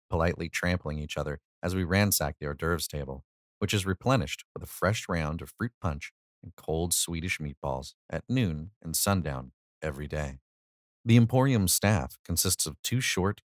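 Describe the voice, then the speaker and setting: A man's voice: low-pitched, no room sound, clean studio sound. A man narrating a scripted short story as an audio reading.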